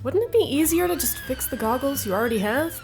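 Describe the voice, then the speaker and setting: A woman's voice: sits high, animated, fast talker, darkish tone, faint music behind. A young woman playing a caring but sceptical college nerd in an audio drama.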